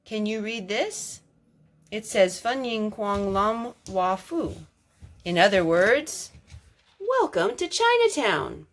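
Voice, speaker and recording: A woman reading a picture book aloud as a storytime host, expressive, drawing out words for emphasis. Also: mid-range voice, slow pace. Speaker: storytime reader.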